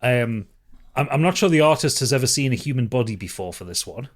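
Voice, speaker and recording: A man's voice: mid-range voice, expressive, a little fast. A man co-hosting a podcast in casual chat, wry and given to swearing. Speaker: podcast co-host.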